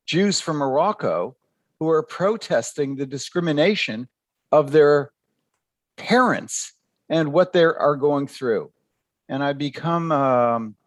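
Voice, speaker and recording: An older man from Canada, a professor answering interview questions by telling stories, with halting, repeated words. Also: mid-range voice, no echo.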